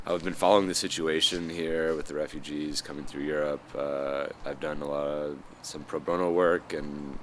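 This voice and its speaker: Man, 30s, volunteer interviewee, extremely deep, hesitant delivery. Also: steady tone, moderate pace, hardly any pauses, slight background noise. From United States. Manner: hesitant, many fillers. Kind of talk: interview answers.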